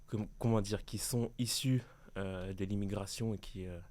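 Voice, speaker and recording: A young man on a round-table podcast, talking in a hesitant way with many pauses. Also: lowish voice, fairly even intonation, darkish tone. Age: young adult. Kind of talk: casual round-table chat.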